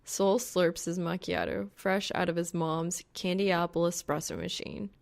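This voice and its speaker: A woman reading fan fiction as an audiobook narrator, in an expressive, scripted storytelling style. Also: on the low side, moderate pace, gravelly.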